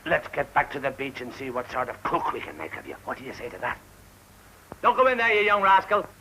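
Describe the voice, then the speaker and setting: An actor playing a gruff, fussing old sailor minding shipwrecked children, colloquial and scolding yet tender, in a classic film. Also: male voice, medium pitch, sing-song, quick pace, sharp tone, full character voice, poor audio.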